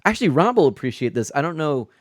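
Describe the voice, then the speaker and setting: A man's voice: a little high, big pitch swings, fast talker, no room sound, studio quality. Upbeat chatty man streaming live. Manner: upbeat and chatty, laughs often, many fillers. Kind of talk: casual live-stream chat. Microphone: streaming microphone.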